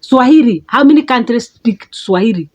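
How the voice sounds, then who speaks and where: A middle-aged Kenyan woman with a Kenyan accent, giving an emphatic motivational talk as a life coach, repeating words and leaping up in pitch for stress. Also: expressive, moderate pace, a little mellow, husky, lo-fi sound. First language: a Kenyan mother tongue.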